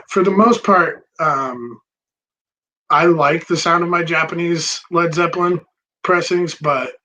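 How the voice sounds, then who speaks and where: A man hosting a casual chat about records, relaxed and rambling with many pauses and ums. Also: fairly high pitch, lo-fi sound. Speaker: record store host.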